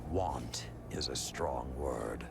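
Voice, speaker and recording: An actor playing a grizzled, stern, world-weary veteran warlord in a video game cutscene, with a gruff and weighty delivery. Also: male voice, extremely slow, background noise, heavily acted. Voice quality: deep gravelly growl.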